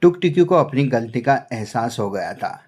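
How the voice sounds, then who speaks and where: A man giving scripted narration for an animated children's story. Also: medium pitch, moderate pace, husky.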